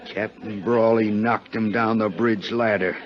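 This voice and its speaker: Man acting a low-voiced, rough, frightened old-radio sailor. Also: steady tone, a little slow, lightly acted. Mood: confiding, uneasy. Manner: rough uneducated sailor's speech. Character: frightened, hard-drinking able seaman, ship's carpenter. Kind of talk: 1950s American radio drama acting. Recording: muffled 1950s radio recording.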